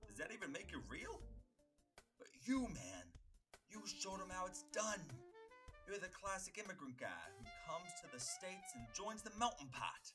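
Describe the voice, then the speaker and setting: A man voicing a cocky, opinionated, streetwise hitman with a soft heart in a comic book dub, chatty and slangy. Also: high-pitched, wildly swooping pitch, normal speed, over music, pitched above the performer's own voice. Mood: impassioned.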